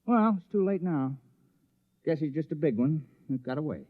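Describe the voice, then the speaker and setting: Male actor as earnest, reluctant small-village criminal; high voice, hesitant, 1950s radio drama. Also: animated, moderate pace, loud music behind the voice, natural voice. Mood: wistful. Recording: muffled vintage 1950s radio recording.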